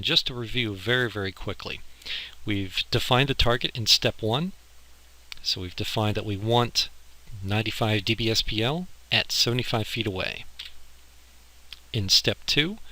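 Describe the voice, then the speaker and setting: Low-pitched man lecturing, bright edgy sound. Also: low-quality recording. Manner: explanatory, informal, step by step. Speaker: instructor. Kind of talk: slide lecture on audio engineering. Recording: harsh amateur recording with clicking.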